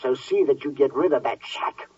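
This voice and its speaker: An actor playing a miserly, crotchety old American rancher with a husky voice, in 1950s radio drama style. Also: male voice, mid-range voice, moderate pace, darkish tone, close to an everyday voice. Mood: harsh, callous. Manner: grumpy, snapping, folksy. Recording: muffled, distant 1950s radio recording.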